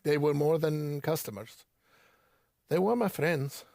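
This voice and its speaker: An actor playing a guarded, reluctant small-town bookseller, measured and formal but hesitant, in an audio drama interview. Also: male voice, on the high side, gravelly, darkish tone, dry recording, studio quality.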